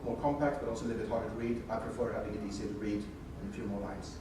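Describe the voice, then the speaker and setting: A man's voice: fairly low pitch, fairly even intonation, very fast. A man whose first language is Swedish presents a technical demo at a conference, walking through code step by step in an informal way with frequent restarts. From Sweden. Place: large conference room. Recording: echoey hall, voice far from mic, noisy.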